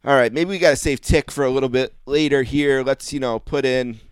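A man hosting a casual interview talks fast and keeps his questions long and winding. His voice is smooth and clear, and the recording sounds dry and close. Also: medium pitch, dry recording. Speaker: podcast host.

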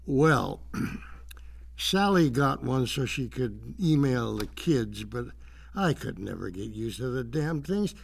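An actor playing an elderly, courtly retired speech writer, befuddled yet proud, with halting, old-fashioned manners in an audio drama. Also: male voice, mid-range voice, expressive, gravelly, breathy edge, exaggerated voice. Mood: courtly, reminiscing. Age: older. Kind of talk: satirical audio drama acting.

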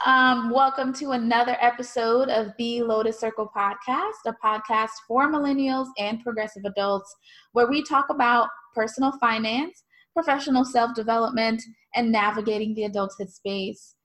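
A woman's voice: fairly high pitch, steady tone, normal speed, a bit bright. A woman hosting a podcast, opening the show and interviewing her guest in a friendly way, with many fillers. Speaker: podcast host. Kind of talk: scripted show intro, then interview.

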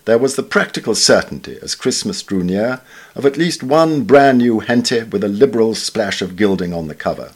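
Man, British accent, low-pitched voice, formal literary reading, measured delivery, dry understatement. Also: lively intonation. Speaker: reader of newspaper essays. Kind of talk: scripted literary essay reading.

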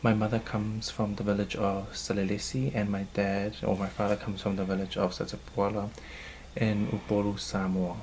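Low-voiced New man, thoughtful. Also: little pitch movement, normal speed, darkish tone, a little hiss or hum. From New Zealand. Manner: thoughtful, hesitant, frequent um fillers. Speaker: cultural leader. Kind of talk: interview answers.

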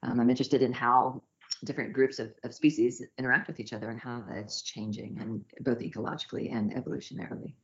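A woman's voice: rumbling low, fairly even intonation, fast. Female academic guest, informal podcast interview delivery, dull lo-fi recording. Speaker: guest researcher.

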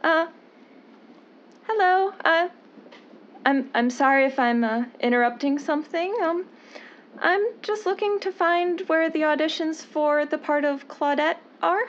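A woman's voice: high voice, unhurried. An actress playing a woman in a melodramatic old-time radio comedy, with a theatrical delivery. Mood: timid, eager. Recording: dull lo-fi old radio sound.

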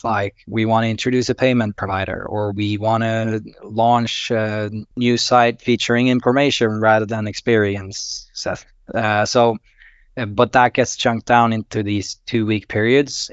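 A man's voice: lowish voice, steady tone, unhurried, smooth, no echo. A man from Sweden, a company CEO, explaining his process methodically with many "uh"s in a panel podcast. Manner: methodical, filler-laden explaining. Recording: dull dry lo-fi sound.

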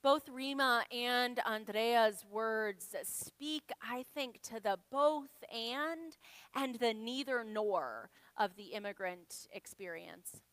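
A woman minister giving a reflective sermon, speaking in a measured, unhurried way. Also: fairly high pitch, fairly bright tone, smooth, no room sound. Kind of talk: reflective sermon reading from congregants' writings.

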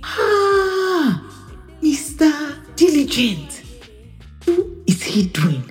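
Nosy nigerian woman after scandal, slow drawn out words, swings up and down. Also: high-pitched, huge pitch swings, soft background music, exaggerated voice. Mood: shocked, teasing. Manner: exclaiming, demanding, dramatic. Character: nosy, excitable gossiping woman, eager for scandal. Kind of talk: audio drama acting.

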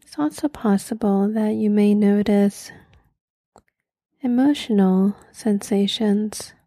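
A woman leads a guided meditation in a mellow, relaxed voice. She speaks very slowly and gently, leaving long pauses, and is soft and at times breathy. The sound is dry and close. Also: medium pitch, no echo.

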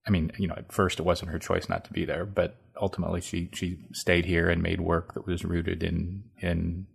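A man hosting an interview, asking prepared questions and giving measured explanations for listeners. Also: low-pitched, flat delivery, vocal fry, breathy edge. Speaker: radio interview host.

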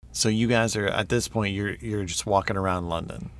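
Man, very low voice, casual co-host, faint background hiss. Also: steady tone, moderate pace, a bit bright. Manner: easygoing, laughs along, many "like"s. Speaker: podcast co-host. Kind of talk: casual podcast chat.

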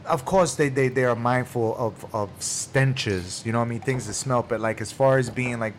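Ny dude on a podcast, lively, lots of slang, mid-pitch voice, faint hum. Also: male voice, moderate pace. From New York City. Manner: animated, loose street slang. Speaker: podcast co-host. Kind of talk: casual chat.

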